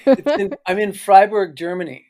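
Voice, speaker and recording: A man, a guest on a podcast, talking on a call from his office in a halting way, full of restarts. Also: high voice, animated, a little mellow. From Canada. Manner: halting, with restarts and "you know, like". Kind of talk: casual interview on a call.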